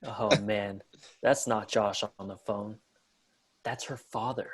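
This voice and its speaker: A man's voice: lowish voice, steady tone, slow pace, breathy edge. A man reading a laid-back, slightly drunk teenage boy who talks to the camera. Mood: relaxed. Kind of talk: table read.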